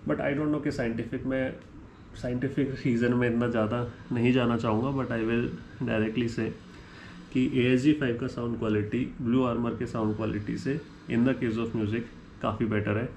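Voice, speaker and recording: An Indian-accented man talks through a product comparison in an unscripted, explanatory way. He mixes Hindi and English within sentences at an ordinary middle pitch. The recording is clearly echoey, with faint noise behind him. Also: little pitch movement, moderate pace, darkish tone. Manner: switches between Hindi and English mid-sentence; ends points with a checking "right?". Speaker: motorcycle gear reviewer.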